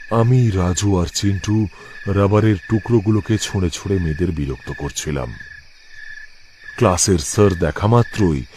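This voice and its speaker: A man's voice: low-pitched, mellow, slight background noise. A man narrating a scripted first-person horror story for a cartoon. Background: music.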